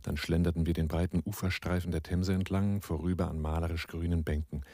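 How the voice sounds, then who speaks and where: An actor playing an American investigator who narrates and leads in a low-key, matter-of-fact way in a German radio drama. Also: male voice, little pitch movement, a little fast, mellow. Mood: calm narrating. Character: American man narrating, leading a small band of investigators. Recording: noisy 1980s radio drama recording.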